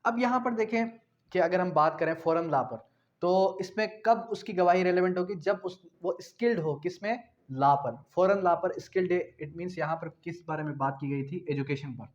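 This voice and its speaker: Higher-voiced Pakistani man lecturing, dull audio. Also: normal speed. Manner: didactic, repeats phrases and asks himself questions. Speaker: law lecturer. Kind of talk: explanatory law lecture mixing in English terms. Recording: dull, lo-fi sound.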